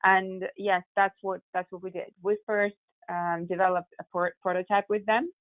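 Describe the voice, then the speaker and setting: A woman's voice: mid-range voice, flat delivery, normal speed, no room sound. A woman with a Russian accent, telling her story as a company founder in a conversational interview, over a muffled, narrow recording. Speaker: tech company founder, podcast guest. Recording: muffled narrow phone-like sound.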